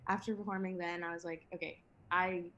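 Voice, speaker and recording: A woman's voice: lowish voice, level tone, a little fast, sharp tone, muffled recording, a little hiss or hum. An eighteen-year-old woman from Venezuela, a singer-songwriter guest chatting in an interview, laughing often and talking with many fillers and restarts. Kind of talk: casual interview chat.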